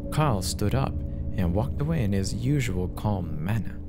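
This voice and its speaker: A man's voice: lowish voice, lively intonation, a little slow, creaky. A man giving a dramatic first-person reading of a horror story as its narrator. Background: loud background music.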